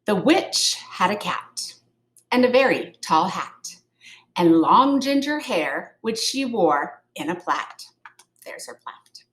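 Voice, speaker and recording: A woman's voice: mid-range voice, very animated, slow pace, fairly bright tone. A woman narrating a children's storybook aloud expressively, in a roomy space. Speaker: storybook reader. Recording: roomy echo.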